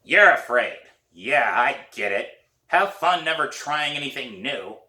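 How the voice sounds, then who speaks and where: A man voicing a gruff, sharp-tongued old woman neighbor in a storybook, with a hoarse, raspy voice and a blunt, scolding manner. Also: medium pitch, animated, a little slow, echoey room, far from the mic, pitched above the performer's own voice.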